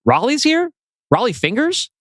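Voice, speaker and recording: A man's voice: very high voice, wildly swooping pitch, normal speed, fairly bright tone, no room sound, clean studio sound, pitched above the performer's own voice, heavily acted. A man playing a genial, confused old grandfather in a script performance. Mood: delighted. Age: older.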